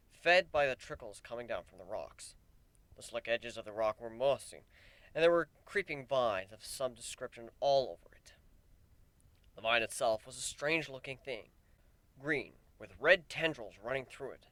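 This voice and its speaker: A man's voice: medium pitch, fairly bright tone, no echo. A man reading a piece of fan fiction as a dramatic, scripted story narration.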